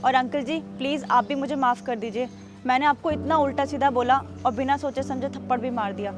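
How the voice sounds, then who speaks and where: A woman's voice: very high voice, a little fast, over music. A woman playing a rude, haughty rich woman. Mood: remorseful. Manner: rude and shouting, later softly apologetic. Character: arrogant rich young woman driver. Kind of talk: short social drama skit.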